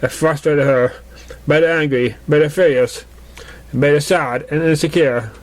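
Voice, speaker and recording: A man's voice: fairly high pitch, unhurried. A man reading a story aloud as an amateur narrator, now and then stumbling over the words. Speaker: amateur fan fiction reader.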